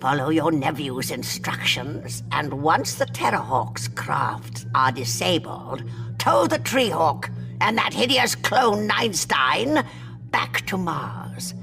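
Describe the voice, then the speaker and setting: Creaky old alien villainess. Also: female voice, sits low, animated, takes it slowly, over music, heavily acted. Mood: scheming, imperious. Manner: imperious, theatrical. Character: old villainous alien witch queen, commanding and vicious. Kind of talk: sci-fi audio drama acting.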